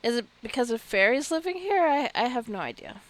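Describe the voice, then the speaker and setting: A woman's voice: fairly high pitch, big pitch swings, fairly quick. A woman giving casual gameplay commentary, chatty and giggly, often talking straight to her game characters. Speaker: gameplay video creator.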